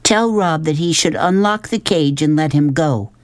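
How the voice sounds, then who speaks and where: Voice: mid-range voice, expressive, a little slow, a little mellow, no echo, voice pushed up, lightly acted. A narrator voicing a proud, righteous young girl in a children's audiobook, insistent, clipped and accusing. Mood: demanding.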